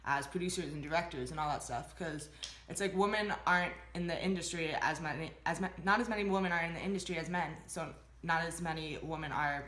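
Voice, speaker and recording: A woman's voice: low voice, a little fast, fairly bright tone. Chatty young woman in a roomy space. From Boston. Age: young adult. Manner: chatty, leans on "like" a lot. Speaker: film student interviewee. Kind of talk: casual interview answers. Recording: roomy echo.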